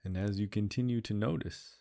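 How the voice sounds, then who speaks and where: A man leading a guided meditation, speaking in slow, soothing phrases and repeating gentle cues. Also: fairly low pitch, steady tone, creaky.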